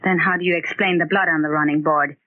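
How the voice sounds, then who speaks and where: An actress in a 1940s radio thriller playing a frightened, overwrought gangster's wife with urgent, melodramatic delivery. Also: female voice, sits low, steady tone, fast, close to an everyday voice. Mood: accusing, fearful. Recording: dull vintage radio recording.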